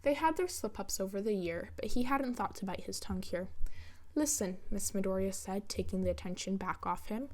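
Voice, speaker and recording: A woman's voice: medium pitch, brisk, few pauses. A woman reading fan fiction aloud as scripted story narration. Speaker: fan fiction audiobook reader.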